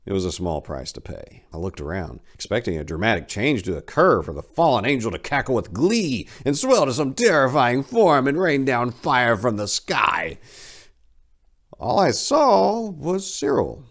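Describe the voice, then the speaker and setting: A man in his forties giving an expressive, theatrical dramatic reading of a horror story, recorded on a lapel mic in a bookshelf-lined study. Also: mid-range voice, unhurried. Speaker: reader.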